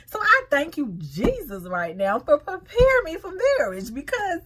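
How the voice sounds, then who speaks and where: A woman's voice: high-pitched, huge pitch swings, normal speed, a bit bright. An American woman hosting an informal talk, moving between reading aloud and conversational commentary full of questions to her listeners. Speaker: online host reading and commenting on a marriage lesson. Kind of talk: casual talk-through of read material with personal commentary.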